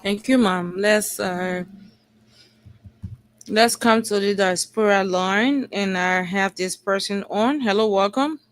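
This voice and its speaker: A woman's voice: medium pitch, slow pace. A woman with a Liberian accent hosting a live call-in talk show and giving commentary. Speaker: radio call-in show host.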